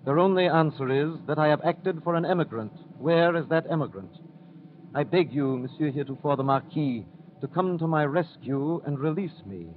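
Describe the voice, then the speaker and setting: An actor playing an earnest, composed gentleman with a measured, formal manner in a classic radio drama. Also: on the high side, lightly acted. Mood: grave, pleading. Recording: tinny, faintly noisy vintage radio recording.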